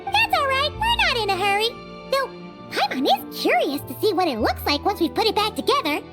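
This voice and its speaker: Squeaky, very high-pitched little fairy. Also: female voice, big pitch swings, a little fast. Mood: cheerful. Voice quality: squeaky, childlike. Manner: perky, refers to self in third person. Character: small childlike cheerful chatty fairy companion, female. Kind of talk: video game character dialogue. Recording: music behind the voice.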